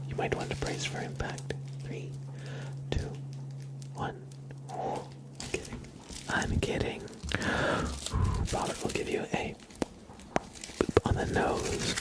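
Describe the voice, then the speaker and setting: A man whispering and speaking softly and breathily as an ASMR livestream host, gentle and halting, with frequent pauses. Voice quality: breathy whisper mixed with low soft voice. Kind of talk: whispered ASMR, part role play, part casual chat.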